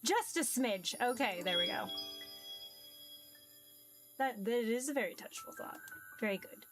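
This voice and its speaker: A woman's voice: fairly high pitch, expressive, measured pace, music in the background. A woman chatting casually and playfully as she streams a game, reacting aloud. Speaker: streamer playing a visual novel. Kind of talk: casual gaming stream chat.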